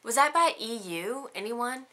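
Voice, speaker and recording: A woman's voice: on the high side, lively intonation, a little slow, bright, forceful tone, slight background noise. A woman in her thirties chatting casually through a list of questions, giggly and rambling with lots of ums. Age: 30s. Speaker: beauty vlogger. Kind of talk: casual chat answering tag questions.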